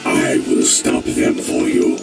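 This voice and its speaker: A man's voice: slow, distant mic, lightly acted. A man voicing a mighty ancient dragon in a video game, solemn, commanding and portentous. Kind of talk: video game cutscene acting. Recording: loud background music.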